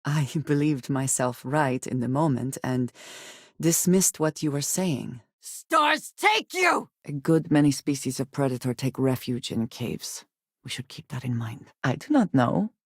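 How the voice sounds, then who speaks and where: A woman playing a guarded, earnest space explorer in a video game, speaking in measured, formal and precise phrases. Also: extremely low pitch, expressive, breathy edge, no room sound, clean studio sound, exaggerated voice. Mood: reflective, earnest, then fierce battle cry. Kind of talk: video game character dialogue lines.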